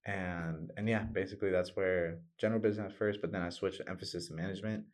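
A man in his twenties from the US, of Mexican family, answering interview questions casually in a loud voice, looping loosely through his thoughts. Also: low-pitched, steady tone, fast talker. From United States, of Mexican family. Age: 20s. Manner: informal, talks in loose loops with frequent "like" and "you know". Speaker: interview guest, graduating student.

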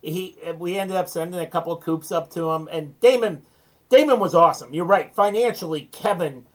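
A man's voice: sits high, a little fast. Male interview guest, American, casual and chatty small business owner, self-deprecating storyteller.

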